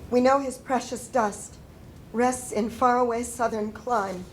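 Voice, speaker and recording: An older woman playing a grieving, devout Civil War mother in a solemn, deliberate dramatic letter reading. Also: fairly high pitch, fairly even intonation, a little hiss or hum, lightly acted, poor audio. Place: indoor stage before a projection screen.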